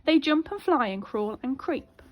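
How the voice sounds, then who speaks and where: A woman teacher reading a children's storybook aloud in an expressive, child-directed way. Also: high-pitched, measured pace, lo-fi sound. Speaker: primary school teacher.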